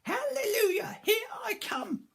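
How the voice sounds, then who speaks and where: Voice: very high voice, sing-song, measured pace, creaky, fairly bright tone, pitched above the performer's own voice, exaggerated voice. A storyteller voicing a wild, gleeful old grandmother with a screechy voice who shrieks and sings out her demands. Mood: gleeful whooping. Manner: shrieking, whooping, sing-song. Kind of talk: storybook reading.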